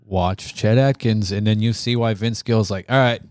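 A man co-hosting a guitar talk show, chatting informally and haltingly, often repeating himself. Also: on the low side, a little mellow, no room sound, clean studio sound. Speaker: co-host.

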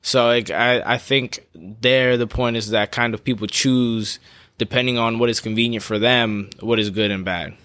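A man's voice: medium pitch, fairly even intonation, moderate pace, bright, forceful tone, clean tone, no echo. A man co-hosting a casual podcast conversation, reflective and hesitant as he works through his points. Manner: reflective, hesitant with fillers and restarts. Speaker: podcast co-host.

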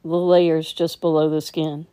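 Low, mellow woman guiding meditation. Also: level tone, clean tone. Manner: gentle, unhurried, instructing. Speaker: meditation teacher. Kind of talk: guided meditation.

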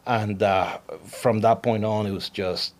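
A man's voice: fairly low pitch, steady tone, unhurried, darkish tone. Chatty Peruvian-man. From Peru. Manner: chatty, repeats words, laughs often. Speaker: guest, alpaca farmer. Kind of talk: casual interview chat.

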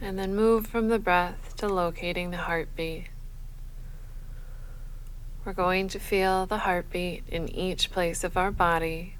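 A woman leading a guided sleep meditation as a yoga nidra guide, speaking in a measured, soothing, unhurried manner. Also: fairly low pitch, rough recording. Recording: faint steady background noise.

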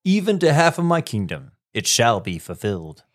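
A man's voice: medium pitch, wildly swooping pitch, moderate pace. A man playing a generous king in a school radio skit, reading formal lines. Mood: regal. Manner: formal, declaiming.

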